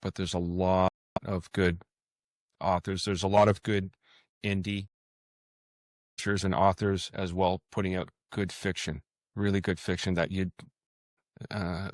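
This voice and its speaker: A man's voice: low-pitched, no echo. A middle-aged man chatting casually as an interview guest, hesitant and often repeating his words. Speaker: fiction author, interview guest.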